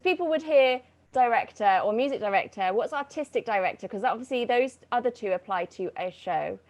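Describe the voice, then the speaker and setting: A woman's voice: a little high, expressive, a little fast, darkish tone. A woman hosting a casual interview, asking her guest questions in a chatty way. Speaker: host.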